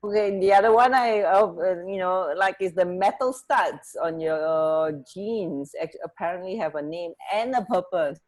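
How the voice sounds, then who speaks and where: A woman's voice: on the low side, normal speed, few pauses, darkish tone. Hesitant woman radio co-host chatting. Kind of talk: casual chat.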